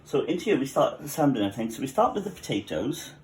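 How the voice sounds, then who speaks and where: A man's voice: medium pitch, very animated, quick pace, darkish tone, slight background noise. Chatty Welsh man teaching a recipe. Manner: chatty, with joking asides. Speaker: cafe cook. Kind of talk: cooking tutorial.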